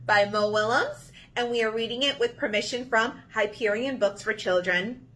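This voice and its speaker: A woman's voice: a little high, moderate pace, a bit bright, low-quality recording. A woman in her thirties, a children's librarian reading aloud and leading rhymes in an encouraging, sing-song way. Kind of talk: children's storytime read-aloud and action rhyme. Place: small indoor room.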